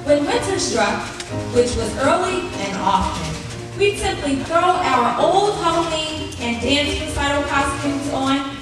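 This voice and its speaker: An actress playing a grown woman nostalgically narrating her childhood in a live radio play, in a theatrical, deliberate storytelling manner. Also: sits high, lively intonation. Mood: nostalgic storytelling. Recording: echoey live theater, distant mic, music behind.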